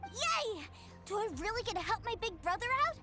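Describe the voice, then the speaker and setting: A woman's voice: very high-pitched, big pitch swings, fairly quick. A woman playing an innocent, wide-eyed little boy who adores his big brother in a video game, with a childlike, eager delivery. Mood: excited. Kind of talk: video game character acting. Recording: game music behind the voice.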